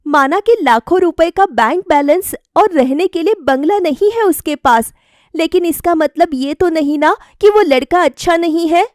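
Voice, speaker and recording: A woman voicing a lively, stubborn young college woman in a cartoon story, expressive and insistent. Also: extremely high pitch, normal speed, no room sound, studio quality, pitched above the performer's own voice. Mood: upset pleading.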